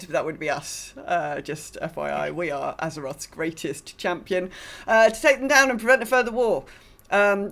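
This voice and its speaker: A woman co-hosting a podcast, reading a game lore recap in a casual way with dry, sardonic asides. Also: lowish voice. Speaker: podcast co-host.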